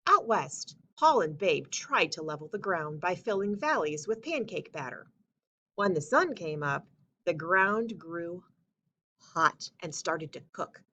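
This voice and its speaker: A woman reading a children's storybook aloud as narrator, with expressive, emphatic phrasing. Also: fairly low pitch, a little slow. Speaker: story reader.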